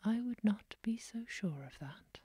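A woman softly whispering the lines of a magical talking trout who lives in a well. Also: medium pitch, lively intonation, measured pace, slightly rough, no echo, studio quality. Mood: solemn. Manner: whispered, solemn and knowing.

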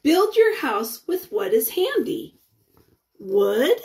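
Slow, high-pitched woman reading to children. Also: big pitch swings, a little mellow. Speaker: teacher reading aloud to children. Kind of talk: picture-book read-aloud with questions for young children.

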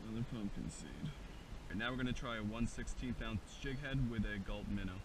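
Fishing vlogger, young man, low-ish voice, relaxed chatty commentary, outdoor action-cam audio with noticeable background noise. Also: a little fast. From Long Island, New York. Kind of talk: casual vlog narration. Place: outdoors by a pond and stream. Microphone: action camera mic.

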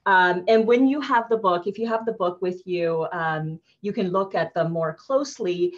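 Author on a dull-sounding video call. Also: female voice, fairly low pitch, normal speed. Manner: warm, thoughtful, many ums and you-knows. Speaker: picture book author. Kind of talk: casual live book talk. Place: living room with fireplace. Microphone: laptop webcam video call.

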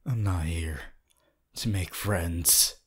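A man voicing a cold, proud, brooding teenage hero student in an ASMR audio roleplay, husky and breathy, often near a whisper, with terse, deliberate delivery. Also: low voice, full character voice. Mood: cold, determined. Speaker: roleplay voice actor.

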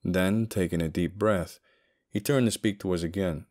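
A man reading scripted, dramatic narration as a storyteller, with a husky voice. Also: deep voice, moderate pace, darkish tone. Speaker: voice actor, narrator.

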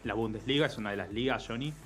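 A man's voice: medium pitch, fast talker, faint music behind. A man with an Argentine accent hosting a casual radio chat, joking and chiming in. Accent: Argentine Spanish. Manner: joking, lively interjections. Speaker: radio host. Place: small radio studio. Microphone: studio mic, headphones.

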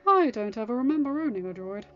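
A woman voicing a calm, kindly older male hermit mentor in a gentle, musing manner for a story reading. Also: fairly high pitch, fast, close to an everyday voice. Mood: musing, wry. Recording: dull lo-fi recording with music behind.

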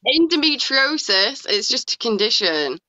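A woman's voice: fairly high pitch, big pitch swings, a little slow, sharp tone. A woman in her twenties who grew up in New Zealand, chatting casually and a bit sweary as a guest on a phone video call. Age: 20s. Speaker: livestream guest. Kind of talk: casual chat. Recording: dull lo-fi call audio.

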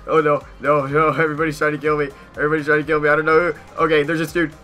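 Bright, forceful young American man gaming. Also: a little high, faint music behind. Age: young adult. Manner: excitable, repeats short phrases rapidly. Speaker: gaming YouTuber. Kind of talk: casual gameplay commentary. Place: small bedroom at home.

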